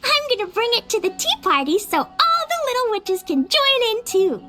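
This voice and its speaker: A woman voicing an excitable, eager little girl adventurer in a video game, with music behind her. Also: very high-pitched, moderate pace. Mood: eager, excited. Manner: eager, childlike.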